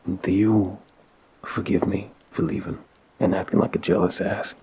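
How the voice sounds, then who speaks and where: A man's voice: deep voice, lively intonation, creaky, background noise. A man acting an apologetic, lovesick mafia boss in an intimate audio roleplay, speaking hesitantly and sincerely with sighs. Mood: remorseful, confiding. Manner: halting, hesitant, sighing, sincere.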